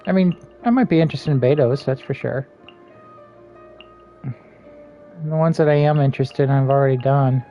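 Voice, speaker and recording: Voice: mid-range voice, moderate pace, long pauses, muffled recording. A game streamer chatting casually while playing, rambling and half muttering to themself. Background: soft game music.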